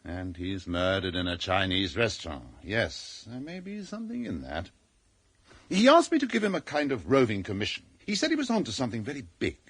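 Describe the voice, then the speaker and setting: A man's voice: lowish voice, sing-song, a little fast, fairly bright tone, breathy edge, dry recording, exaggerated voice. A man playing an earnest, authoritative British intelligence chief in a radio drama, formal and clipped in manner. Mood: earnest, brisk.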